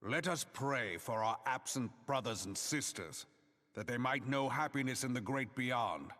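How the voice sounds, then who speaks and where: Solemn military leader, fantasy game, forceful bright voice, slow grand declamation, echoing hall. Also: male voice, mid-range voice, expressive. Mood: solemn, reverent. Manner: grandiloquent, declamatory, archaic diction. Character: proud, solemn military statesman rallying a desert nation. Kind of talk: theatrical public oration in a fantasy game. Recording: long echoing hall reverb.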